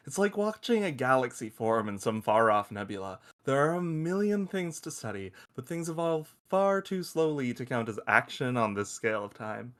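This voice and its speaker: A man playing a crude, teasing space probe who loves football and shows off as he explains, in a table-read audio drama. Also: mid-range voice. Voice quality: animated, swooping delivery. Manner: foul-mouthed, teasing, showy explainer.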